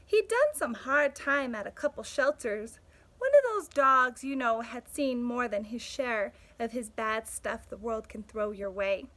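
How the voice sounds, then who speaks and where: A woman's voice: fairly high pitch, lively intonation, unhurried, a bit bright. A woman voicing a blunt, cynical male stray dog who narrates a storybook in a sardonic, punchy way. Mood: wry, animated. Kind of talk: storybook first-person narration.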